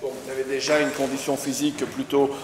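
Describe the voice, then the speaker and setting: A man's voice: mid-range voice, level tone, quick pace. Male interviewer, conversational and encouraging, roomy gym with hiss. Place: martial arts gym.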